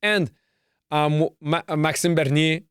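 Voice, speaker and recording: A man's voice: a little high, slow, fairly bright tone, clear, resonant tone, no echo, studio quality. A man from Canada whose first language is Serbo-Croatian hosts a solo podcast, delivering a profane, repetitive and emphatic rant. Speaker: podcast host.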